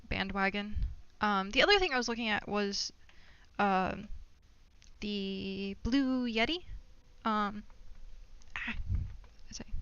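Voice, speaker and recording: Woman game streamer, bright forceful voice, giddy and self-deprecating, casual rambling chat, close dry lo-fi mic. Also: mid-range voice, smooth, no echo. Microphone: budget desktop microphone.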